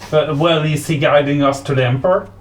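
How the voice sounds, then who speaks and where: A man's voice: on the high side, fairly even intonation, a little fast. A man bantering casually in character as a tabletop game player, stammering and often questioning.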